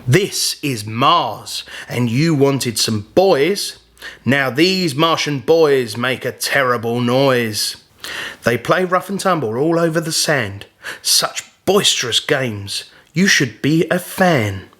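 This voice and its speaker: Man voicing a slow, showy tiny cosmic genie. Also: medium pitch, lively intonation, fairly bright tone. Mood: showy, teasing. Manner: theatrical, rhyming proclamations.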